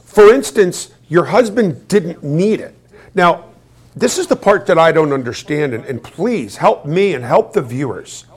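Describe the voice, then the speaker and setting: A man's voice: fairly high pitch, expressive, measured pace, slightly rough. A man hosting a conversational interview, asking questions in a jokey, inquisitive way with frequent restarts. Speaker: host.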